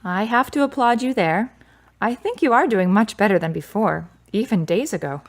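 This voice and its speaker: A woman's voice: mid-range voice, animated, moderate pace. A woman playing a grieving, frank young woman in a radio drama, with dramatic, earnest phrasing.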